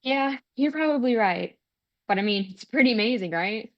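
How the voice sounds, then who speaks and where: A dry, slightly dull recording of an actress playing a kind young woman at a table read. She is earnest and relentlessly optimistic, cheerful even when she hesitates over her words. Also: on the high side, moderate pace, no echo. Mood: gleeful, bright. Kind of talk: script table read, naturalistic acting.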